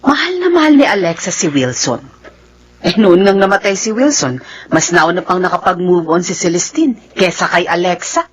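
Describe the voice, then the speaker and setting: A loyal, sensible Filipina confidante in a radio drama. Her voice is husky and moves in animated swings. She speaks with concern and sympathy. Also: on the low side, moderate pace.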